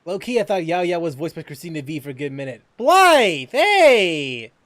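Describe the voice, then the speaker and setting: A man giving casual, slangy game playthrough commentary as a streamer, expressive and prone to repeating himself. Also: fairly high pitch, normal speed. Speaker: gaming streamer.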